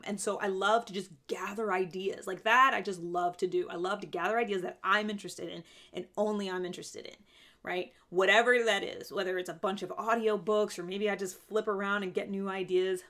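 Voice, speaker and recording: Female vlog host, mid-pitched voice, conversational and reflective, unscripted advice straight to viewers. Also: fairly bright tone. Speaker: video host sharing self-growth advice. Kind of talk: casual, unscripted talking to viewers.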